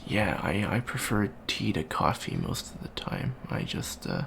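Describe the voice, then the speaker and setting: A man playing an awkward, bookish young alien college student in an ASMR roleplay, talking softly and hesitantly with many ums and stammers. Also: fairly low pitch, huge pitch swings, measured pace, vocal fry, a little hiss or hum, exaggerated voice. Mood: awkward, musing. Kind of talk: soft-spoken ASMR roleplay.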